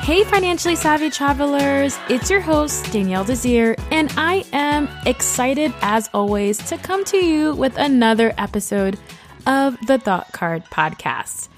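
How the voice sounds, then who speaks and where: A woman's voice: sits high, animated, a little slow, music in the background. Upbeat woman hosting a podcast. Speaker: podcast host. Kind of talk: podcast interview. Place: bar.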